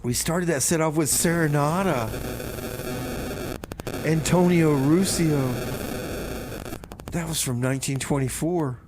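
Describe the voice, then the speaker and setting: A man's voice: on the high side, slow pace, long pauses, background noise. A man hosting a music radio show in a casual style, with comic banter.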